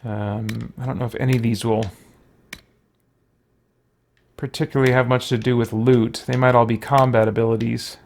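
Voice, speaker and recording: Male gaming commentator, medium pitch, casual and deliberating aloud, slight background noise. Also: flat delivery, moderate pace, vocal fry, long pauses, a little mellow. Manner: musing aloud, hesitant deliberation. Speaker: video game player and commentator. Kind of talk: casual let's play commentary.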